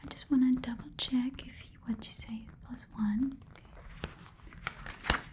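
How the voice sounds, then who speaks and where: A woman voicing a chatty, haggling goblin pawn shop keeper in a breathy whispered ASMR roleplay, with casual muttered asides. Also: high voice, fairly even intonation, measured pace, very noisy. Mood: appraising, doubtful.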